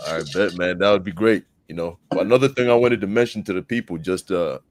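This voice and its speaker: A man's voice: deep voice, quick pace, no echo. A man from Zambia with a Zambian accent, chatting casually as an interview guest and explaining things with frequent tag questions.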